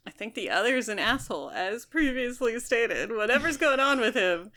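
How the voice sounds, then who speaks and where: A woman co-hosting a podcast, discussing a book casually and bluntly, thinking out loud as she goes. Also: fairly high pitch, expressive, normal speed. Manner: informal, blunt, speculative. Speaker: podcast co-host.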